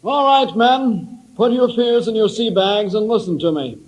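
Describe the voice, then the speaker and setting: A man playing a stern veteran submarine captain in old-time radio drama, giving authoritative orders in a rough, husky voice. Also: high voice, normal speed. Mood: firm, authoritative. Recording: dull lo-fi old radio recording.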